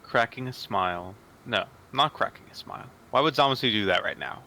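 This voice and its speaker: A man reading dramatic story narration in a formal, measured storytelling manner. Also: mid-range voice, animated, pushed and bright.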